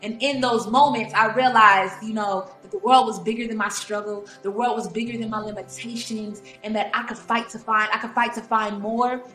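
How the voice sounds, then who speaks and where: A woman's voice: on the high side, fairly even intonation, normal speed, hardly any pauses, bright, forceful tone, faint music behind. A young adult woman, a musician guest in an interview, telling her story with emphatic, passionate conviction. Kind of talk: conversational interview answers. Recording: roomy echoey room.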